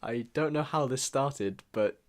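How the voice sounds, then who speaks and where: A man's voice: medium pitch, measured pace. A young British man narrating a scripted year-in-review vlog in a reflective, earnest way. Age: young adult. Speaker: video creator and student filmmaker. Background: background music with guitar.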